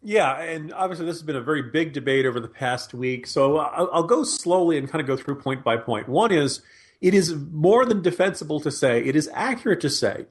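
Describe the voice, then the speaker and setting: Higher-voiced American man, wry and emphatic. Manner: emphatic, argumentative, wry, mimicking imagined arguments. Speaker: podcast co-host, political commentator. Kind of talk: conversational political commentary.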